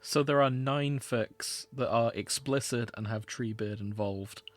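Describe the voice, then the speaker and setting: A man's voice: medium pitch, a little slow. Man chatting with dry delivery. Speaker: podcast co-host. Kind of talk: casual comedic chat.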